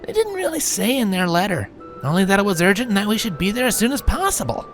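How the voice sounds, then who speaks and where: Casting: woman playing cocky adult flying mare, offhand and breezy, very animated delivery, music bed. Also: medium pitch, fast, close to an everyday voice. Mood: casual, unconcerned. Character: brash, laid-back adult female pegasus flier, casual and cocky. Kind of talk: animated cartoon-style story dialogue.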